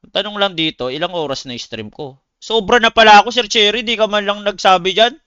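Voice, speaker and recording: A man's voice: high-pitched, fairly quick, sharp tone, clear, resonant tone, no echo, lo-fi sound. A man with a Filipino accent chatting casually to viewers on a livestream, talking in blunt, slangy, swearing style. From Philippines. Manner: slangy, blunt, swearing, addresses listeners as buddies. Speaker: game streamer; competitive esports player.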